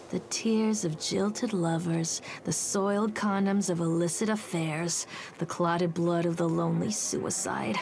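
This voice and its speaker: Anguished, brooding woman with a creaky voice. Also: on the low side. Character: anguished, bitter woman searching a sinister amusement park. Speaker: game character and narrator. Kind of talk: dramatic video game voice acting.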